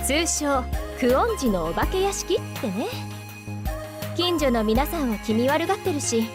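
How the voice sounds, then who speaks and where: A woman's voice: high-pitched, very animated, fairly quick, music in the background. An actress playing a chatty, playful young woman in a visual novel game, with a breezy, teasing, theatrical manner. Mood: gossipy, teasing.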